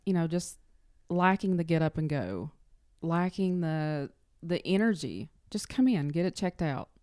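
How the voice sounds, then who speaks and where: Woman guest chatting casually, slightly low voice, earnest n emphatic, encouraging, close dry sound. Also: expressive, a little slow, warm tone, clean tone, no room sound. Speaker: interview guest, clinic front-office worker. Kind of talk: casual chat, encouraging advice.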